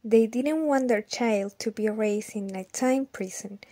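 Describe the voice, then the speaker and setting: A woman playing a gentle character recounting an adventure in an audio drama. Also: on the high side, a little slow. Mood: gentle storytelling.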